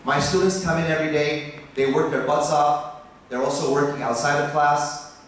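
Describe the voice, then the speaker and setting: American male biology professor, passionate lecture at a conference, fairly high voice, heard from afar in a reverberant hall, faint background hiss. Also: moderate pace. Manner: passionate, rising in pitch when animated. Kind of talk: conference talk. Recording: echoey hall from far off.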